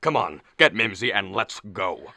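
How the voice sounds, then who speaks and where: Man acting a blustering father, sharp and animated. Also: sits low, unhurried, creaky, no room sound, clean studio sound, exaggerated voice. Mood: irritated. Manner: blustering, demanding. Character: impatient, money-minded, blustering middle-aged father of the bride. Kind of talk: radio play acting.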